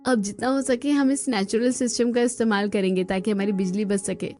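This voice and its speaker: A woman voicing a melodramatic, expressive woman in a Hindi family cartoon, speaking in a theatrical, exclamatory way. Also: mid-range voice, fairly quick, close to an everyday voice. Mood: assured. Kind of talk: Hindi cartoon drama dubbing.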